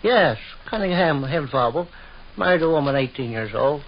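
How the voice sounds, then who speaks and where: An actor playing a stubborn, rambling old American uncle in a folksy, repetitive 1940s radio comedy style. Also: male voice, fairly high pitch, expressive, normal speed, slight background noise. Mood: fond, reminiscing. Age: older. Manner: rustic, repetitive, emphatic, homespun turns of phrase. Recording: dull vintage 1940s radio recording.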